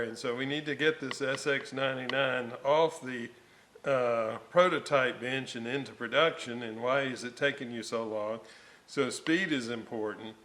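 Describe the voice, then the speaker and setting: An older man giving a casual hands-on workshop explanation, thinking aloud in a halting way as he hosts a restoration video. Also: medium pitch, fairly bright tone. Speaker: vintage radio restorer and video host. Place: indoor home workshop.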